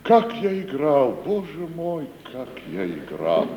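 A proud, domineering provincial tragedian in a vintage radio play. His male voice is fairly high and very animated, declaiming with lofty condescension. A light hiss from the old recording sits around it. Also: slow, heavily acted. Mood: wistful, grand. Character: pompous, bullying provincial tragic actor, proud and wistful. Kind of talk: mid-century Russian stage radio acting. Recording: noisy 1950 radio recording.

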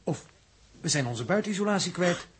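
An actor playing a level-headed crew leader who gives brisk, matter-of-fact instructions in a 1960s Dutch radio drama. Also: male voice, sits high, animated, breathy edge. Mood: grave, urgent. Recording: noisy old 1960s radio recording.

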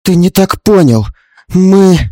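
Man voicing a high, frightened middle-aged mother-in-law. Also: lively intonation, slow, mellow, dry recording, clean studio sound. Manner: panicked pleading.

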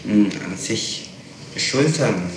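Lowish-voiced male interrogator, heavy echo. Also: animated. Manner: halting, methodical, insistent questioning. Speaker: prosecutor questioning a witness. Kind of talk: formal interrogation. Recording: echoey, distant, noisy 1960s archive tape.

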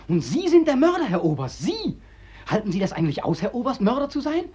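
Husky, haunted young soldier, wildly swinging pitch. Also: male voice, big pitch swings, fast, dark tone, heavily acted. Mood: mocking, accusing. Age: young adult. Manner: mockingly deferential, accusing, anguished. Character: bitter, haunted young drowned soldier, war returnee. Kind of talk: mid-century German radio drama acting. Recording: dull, band-limited old radio recording.

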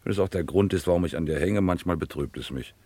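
An actor playing a pompous, scheming gentleman crook in a vintage radio crime play, patronising and grandiloquent in deliberate phrases. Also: deep voice, no room sound. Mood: condescending, amused. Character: pompous, scheming gentleman crook, condescending to his dim henchman.

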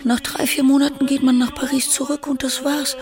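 An actress playing a worldly, pleasure-loving courtesan in a German radio play, sighing and teasing in a theatrical way. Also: female voice, high-pitched, flat delivery, brisk, close to an everyday voice. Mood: amused, scheming.

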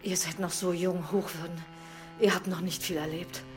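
A woman playing a sorrowful, discreet older widowed housekeeper in a radio drama, speaking hesitantly and deliberately. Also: on the low side, little pitch movement, faint music behind, close to an everyday voice. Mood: earnest, firm.

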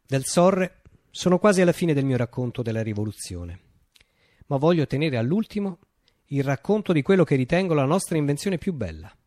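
An actor performs a visionary male storyteller, telling of a utopian revolution as a radio monologue. His mid-pitched voice swings expressively up and down, with deliberate, declamatory phrasing. The sound is dry and without room echo. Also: a little mellow, natural voice.

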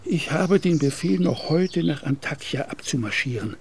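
A fearful, whispering gendarme. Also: male voice, on the high side, lively intonation, moderate pace, heavily acted. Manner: fearful, pleading, often whispering. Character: anxious Turkish gendarme officer, middle-aged man. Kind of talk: radio play acting. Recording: radio play with clear background noise.

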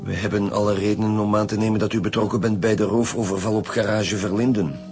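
Actor as a low-voiced, stern Flemish police detective. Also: male voice, flat delivery, fast, darkish tone, faint music behind, lightly acted. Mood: stern, probing. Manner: blunt, probing, authoritative. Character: stern, suspicious male chief police detective. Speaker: actor in a radio drama. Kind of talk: naturalistic radio crime drama acting.

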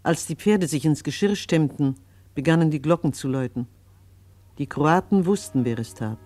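A woman's voice: low voice, animated, moderate pace, warm tone. A woman narrating a radio drama in a solemn, scripture-like recitation.

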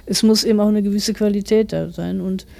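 A forty-year-old woman speaks as an author being interviewed. Her voice is dark and relaxed at an average pitch. She explains her thinking carefully and reflectively. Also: fairly quick, gravelly. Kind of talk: thoughtful interview answers.

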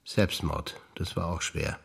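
An older man from Bavaria speaks remembered moments on stage in a low voice. His delivery is calm, understated and matter-of-fact. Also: unhurried, vocal fry, husky. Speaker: stage actor. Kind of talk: scripted stage recitation of memories.

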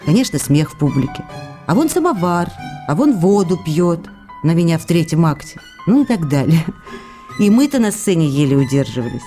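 A woman's voice: low-pitched, big pitch swings, moderate pace, dark tone. A woman playing an affectionate young actress, confiding in her absent lover through letters read aloud in a radio play. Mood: lively, amused. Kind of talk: radio play reading of love letters, intimate. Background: faint music.

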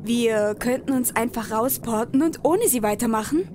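A woman's voice: a little high, normal speed, noisy background, lightly acted. A woman playing a feisty, impatient female spellcaster with a scornful, exclamatory manner in a comedic radio play parody. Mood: doubtful. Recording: music bed and effects behind voice.

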